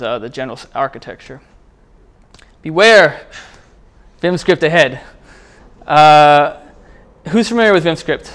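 Clear-voiced man giving a casual tech talk. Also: on the high side, fairly bright tone. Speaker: presenter at a programming meetup. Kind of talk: casual technical talk with live demo. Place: indoor meetup room, speaking before a small group.

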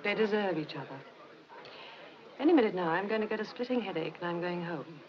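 An actress playing a bored, bitterly sarcastic scientist's wife in 1950s British film style, with a mock-sweet manner. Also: female voice, on the low side, expressive, brisk, lightly acted. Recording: muffled old film soundtrack, party chatter.

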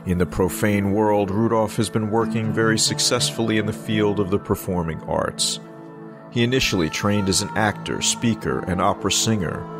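A male podcast host reading sponsor spots and a guest introduction in a measured way, then chatting in a relaxed, hesitant manner. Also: deep voice.

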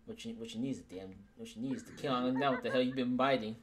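A man's voice: mid-range voice, rapid-fire, crowd noise. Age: young adult. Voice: man, teasing, repetitive phrasing. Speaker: player in a Minecraft roleplay series. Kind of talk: casual improvised roleplay chat.

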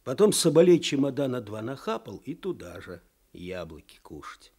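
An actor playing a weary, sardonic older provincial clerk in a radio drama, with a husky voice and folksy, wry speech. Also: male voice, medium pitch, sing-song, moderate pace, warm tone. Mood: wry grumbling. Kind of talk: Soviet radio drama acting.

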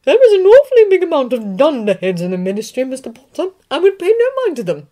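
A woman voicing a stern older female professor who speaks in a low, grave and measured way. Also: wildly swooping pitch, dark tone.

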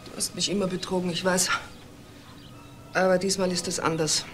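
Actress as hurt, bitter wife, faint music behind. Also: female voice, on the low side, level tone, moderate pace, roomy sound, close to an everyday voice. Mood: accusing, hurt. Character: hurt, suspicious wife and boss, controlled and bitter. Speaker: actress in a crime drama. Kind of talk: dramatic dialogue acting.

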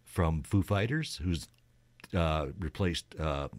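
A man's voice: low-pitched, animated, slow, no room sound. A man co-hosting a radio show, chatting casually and explaining things in an informal, hesitant way. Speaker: radio co-host. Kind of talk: casual music chat.

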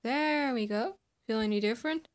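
A woman voicing a gentle, affectionate, sly male scientist who coaxes and soothes in an amateur comic dub. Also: a little high, moderate pace, lightly acted. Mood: coaxing.

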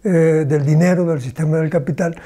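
Very mellow-voiced older man. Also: a little high, fairly even intonation. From Cuba. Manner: slow, deliberate, pausing often to search for words. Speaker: philosophy professor. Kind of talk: interview answers. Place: small indoor room with bookshelves.

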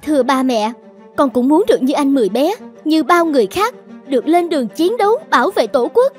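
An actress voicing a determined, idealistic young daughter in a cartoon drama, earnest and resolute. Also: female voice, sits high, lively intonation, fairly quick. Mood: earnest, respectful. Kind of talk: animated cartoon drama dialogue. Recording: clear music behind the voice.

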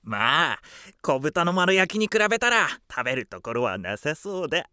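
A woman's voice: sits low, big pitch swings, moderate pace, pushed and bright, no room sound, clean studio sound, full character voice. A woman voicing a bossy female duck with a tart, mocking manner in a radio drama. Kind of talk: Japanese radio drama acting.

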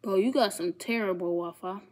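A woman's voice: medium pitch, moderate pace, a little mellow, faint music behind. A woman in her twenties from Ohio chatting casually with strangers on video calls, blunt and teasing, full of slang, in a small bedroom. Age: 20s. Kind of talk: casual chat.

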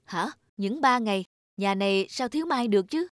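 A man's voice: high voice, lively intonation, moderate pace, no room sound, studio quality. A man voicing a lonely husband and father in a cartoon, earnest and given to grumbling. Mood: tender, earnest. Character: lonely husband and father, discontented with his wife. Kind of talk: animated cartoon dialogue. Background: music.

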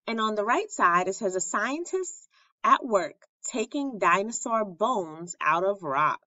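A woman reads a children's lesson aloud at an unhurried pace, with a bright, forward tone. She enunciates each phrase deliberately like a schoolteacher. The recording is close and dry, slightly dull in fidelity. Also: medium pitch, expressive, no echo. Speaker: elementary school teacher reading aloud.

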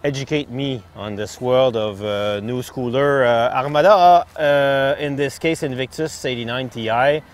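A man's voice: medium pitch, a little slow, hardly any pauses. A middle-aged man hosting a casual ski gear review outdoors, talking in hesitant, broken-up phrases. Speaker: ski test host. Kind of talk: casual product review and interview. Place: outdoors on a snowy ski slope.

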